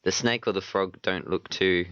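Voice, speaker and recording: A man co-hosting a casual podcast chat, sick and partly hoarse, talking with hesitant, stammering restarts. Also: deep voice, steady tone, normal speed, fairly bright tone, dry recording, lo-fi sound. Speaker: podcast co-host.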